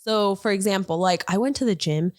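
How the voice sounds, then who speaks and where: A woman co-hosting a casual couple's podcast, telling stories in an animated way and laughing often. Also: medium pitch, brisk, no echo, studio quality. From United States. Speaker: podcast co-host. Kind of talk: casual chat.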